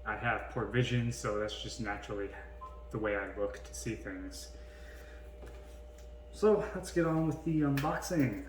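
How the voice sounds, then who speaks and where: A man giving casual, unscripted unboxing commentary in a halting, hesitant way. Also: lowish voice, over music, low-quality recording. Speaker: vlogger doing an unboxing.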